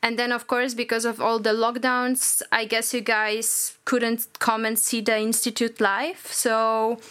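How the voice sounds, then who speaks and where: A woman hosting a podcast panel, introducing guests and asking questions in a friendly, measured way. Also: fairly high pitch, flat delivery, fairly bright tone, clean tone. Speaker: podcast host.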